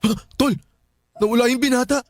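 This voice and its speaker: A man's voice: very high voice, fairly even intonation, brisk. An actor playing a jittery young man who sees ghosts in a Filipino radio drama, full of anxious, exclamatory questions. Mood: puzzled.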